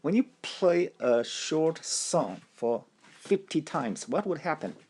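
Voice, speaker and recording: A man whose first language is Taiwanese gives a language lesson, speaking deliberately and often repeating his phrases. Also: a little high, sing-song, darkish tone, slightly rough. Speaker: language teacher. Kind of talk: slow instructional talk.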